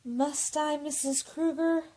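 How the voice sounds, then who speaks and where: A woman's voice: high voice, fairly even intonation, takes it slowly, warm tone. A woman playing a guarded young assistant, firm and proper, in a radio drama. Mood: polite. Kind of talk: old-time radio drama acting.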